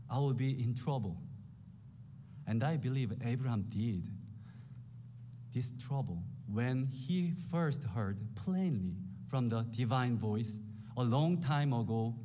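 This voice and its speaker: A man in his forties with a Korean accent, preaching a sermon in an earnest, humble, measured way. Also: mid-range voice, dark tone, slight background noise. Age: 40s. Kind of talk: sermon with scripture reading.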